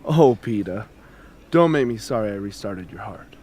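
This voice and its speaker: A man's voice: lowish voice, animated, normal speed, lightly acted. Easygoing young man an actor's role, faint noise. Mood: protective. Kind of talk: amateur radio drama acting.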